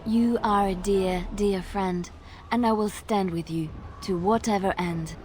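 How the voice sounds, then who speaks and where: An actress playing a gentle, brave, devoted young adventuress in a video game, earnest and lyrical. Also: female voice, mid-range voice, steady tone, measured pace, soft background music, natural voice. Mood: solemn resolve.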